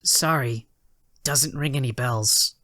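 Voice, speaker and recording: A youthful hedgehog hero put on by a man, pitched up into a light register and recorded dry and close. He is earnest, eager and a little awkward, in fan audio story style. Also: slow, pushed and bright, no echo. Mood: apologetic.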